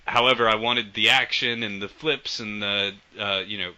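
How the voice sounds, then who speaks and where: A man's voice: lowish voice, steady tone, moderate pace, bright, forceful tone, clear, resonant tone. A man co-hosting a podcast, chatting casually and rambling with frequent laughs and casual swearing. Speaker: podcast co-host. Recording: dull lo-fi podcast audio.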